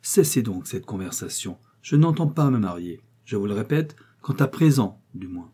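A man's voice: fairly low pitch, lively intonation, moderate pace, warm tone, breathy edge. A man voicing a peevish, haughty young heiress who speaks in a curt, dismissive way.

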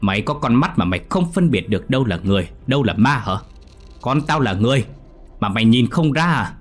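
A man's voice: fairly low pitch, lively intonation, a little fast, faint music behind. A man voicing a cruel, hot-tempered old landlord, snarling and bellowing threats. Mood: raging. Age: older.

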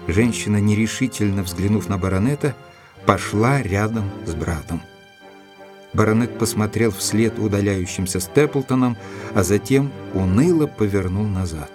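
An actor playing a composed gentleman who narrates a detective story as its loyal observer, in a measured storytelling manner. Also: fairly low pitch, music in the background, close to an everyday voice. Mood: composed, watchful. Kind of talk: dramatised audiobook narration.

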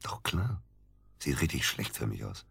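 A man's voice: very animated, unhurried, a bit bright, dry recording, heavily acted. An actor playing a cornered, sardonic murder suspect in a radio drama, needling his friend in with dry irony. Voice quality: very deep, gravelly. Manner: dry, ironic, taunting. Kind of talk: German radio drama acting.

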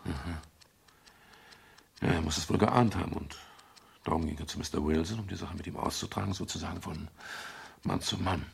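Private detective, radio crime drama: deep, gravelly, modest, drily wry delivery. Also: male voice, moderate pace, long pauses, heavily acted. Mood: gentle, polite. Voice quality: gravelly, husky. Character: modest, wry male private detective, narrating his case. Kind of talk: 1970s German radio play, narration and dialogue.

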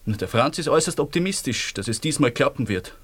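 A man's voice: mid-range voice, lively intonation, quick pace, husky. An actor playing a weary, wry man in love after the war in a radio play, with an Austrian accent. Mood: frustrated, confiding.

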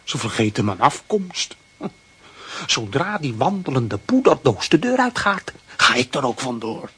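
A man's voice: mid-range voice, sing-song, measured pace, a bit bright, slight background noise. An actor playing a scrappy, proud male street dog in a radio play, with a streetwise grumble. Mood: grumbling.

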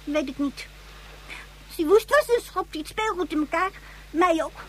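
Radio play role: hardened, spiteful young girl, extremely high voice, stubborn and taunting. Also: lively intonation, moderate pace, fairly bright tone, a little hiss or hum. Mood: sullen.

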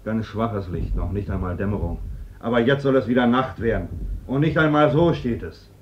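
A man's voice: fairly low pitch, moderate pace, natural voice. An actor playing a militant man in a radio drama, rhetorical and insistent, arguing with conviction. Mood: grave, insistent. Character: militant, insistent man urging armed resistance. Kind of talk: German stage-like radio drama acting. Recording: dull, noisy old radio drama recording.

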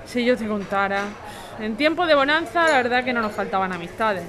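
A woman's voice: on the high side, lively intonation, a little fast, natural voice. A woman acting an indignant, snobbish, overqualified jobseeker of thirty-five in an audio drama. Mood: aggrieved. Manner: indignant, complaining. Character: indignant, snobbish 35-year-old overqualified woman seeking work. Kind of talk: audio fiction podcast acting. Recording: busy bar chatter behind.

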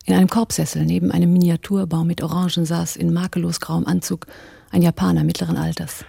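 An actress playing a cool, observant female partner of an arms dealer, narrating a radio drama in a measured, descriptive way. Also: fairly low pitch, little pitch movement, creaky, dark tone, slightly rough, heavily acted. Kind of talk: literary first-person narration and dialogue in radio drama.

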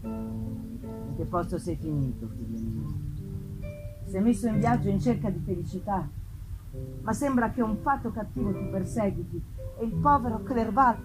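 A woman's voice: medium pitch, animated, normal speed, long pauses, lightly acted, poor audio. Woman as a grave, sorrowful old father, over music. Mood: grieving. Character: sorrowful, loving older father.

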